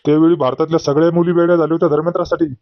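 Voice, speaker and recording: Man playing a high-pitched boastful old village man. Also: level tone, racing, no room sound, highs cut off, natural voice. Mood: storytelling. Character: boastful old village man, grieving, exasperated, telling tall tales. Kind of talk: comedy cartoon dialogue.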